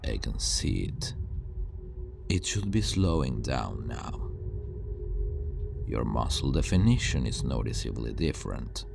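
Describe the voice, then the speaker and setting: A man playing a calm, obsessive scientist villain with superpowers, soothing yet menacing, speaking in a measured, deliberate ASMR roleplay monologue. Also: a bass voice, creaky, music in the background, full character voice. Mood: intent, fascinated. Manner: measured, deliberate, pausing, reassuring. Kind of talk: ASMR roleplay, intimate scripted monologue to the listener.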